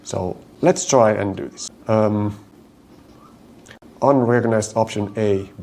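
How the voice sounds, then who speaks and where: A man's voice: on the low side, warm tone. Finnish-accented man thinking aloud over code. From Finland. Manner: thinks aloud while typing, slows to spell out code. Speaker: coding tutorial host. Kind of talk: live coding walkthrough.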